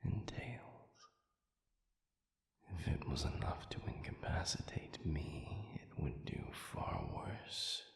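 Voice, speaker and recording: Man voicing a whispering, gravelly low demon. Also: sing-song, reverberant, exaggerated voice. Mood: grave, resigned. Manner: formal, measured, deliberate. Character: formal, sadistic male demon with lost memory, tender and curious. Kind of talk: intimate audio roleplay monologue.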